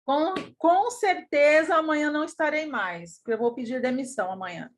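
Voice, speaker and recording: A woman's voice: sits high, a little fast, a little hiss or hum. A woman from São Bernardo with a São Paulo state Brazilian accent, talking openly as a participant on a casual video call. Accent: São Paulo state Brazilian Portuguese. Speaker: course participant.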